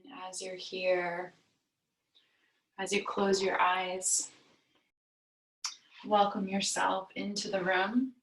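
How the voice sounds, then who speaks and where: Slow, unhurried woman guiding meditation, roomy echo. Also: medium pitch, completely flat delivery, fairly bright tone. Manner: soothing, unhurried, with long pauses. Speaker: yoga nidra meditation teacher. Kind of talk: guided meditation. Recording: roomy echo, distant mic.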